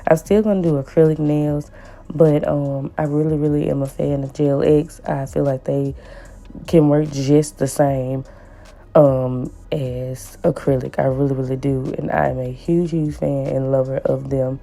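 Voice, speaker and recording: A woman with a hoarse, raspy voice from the flu, chatting casually as she narrates a nail tutorial and repeats words for emphasis. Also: flat delivery, measured pace. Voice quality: raspy and deep. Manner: repeats words for emphasis, warm pleading asides. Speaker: nail tech vlogger.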